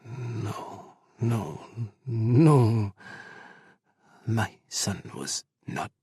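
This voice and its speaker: A man voicing a grieving, devout middle-aged mother who speaks in hushed, tearful tones and turns accusing. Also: extremely low pitch, lively intonation, extremely slow, slightly rough, no echo, clean studio sound, full character voice. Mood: denial.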